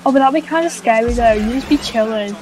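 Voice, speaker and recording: High-voiced young woman chatting over a game. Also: fast. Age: young adult. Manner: playful, self-deprecating bantering. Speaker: gaming video host. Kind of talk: casual gameplay chat. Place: small room at home, indoors.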